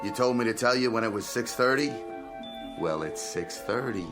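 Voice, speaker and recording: An actor playing a gruff, sarcastic bartender in a radio drama, talking in curt, scolding bursts. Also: male voice, mid-range voice, animated, normal speed, music in the background, lightly acted.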